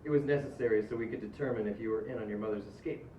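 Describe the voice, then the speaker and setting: A man playing a brisk, businesslike bounty hunter in a comedy table read. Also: fairly low pitch, mellow, lightly acted. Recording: echoey, distant, muffled room with faint noise.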